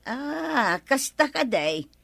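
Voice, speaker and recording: An actress playing an easily miffed village neighbour in an Ilocano radio comedy drama, with expressive, drawn-out interjections. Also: female voice, high voice, gravelly, no echo, full character voice. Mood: neighbourly, eager. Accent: Filipino, Ilocano. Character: village neighbour woman borrowing a drying mat, easily miffed.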